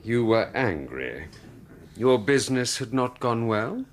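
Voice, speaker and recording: A man's voice: mid-range voice, very animated, slow. An actor playing a stern, sceptical inquest examiner in a radio drama, pompous and incredulous as he puts clipped questions. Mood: probing. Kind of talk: radio drama courtroom acting.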